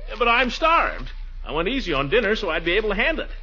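A pompous, flirtatious middle-aged bachelor in a 1940s radio comedy. His bright, fairly high voice swings wildly with bluster and chuckles. The vintage recording is muffled. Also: sing-song, fast talker, full character voice. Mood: flirtatious, eager.

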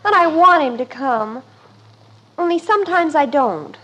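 An actress playing a melodramatic, lovesick American teenage daughter with plaintive delivery in a 1950s family radio comedy. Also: female voice, sits high, lively intonation, unhurried, pushed and bright, heavily acted. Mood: anxious. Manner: plaintive, overwrought. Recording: noisy old radio broadcast recording.

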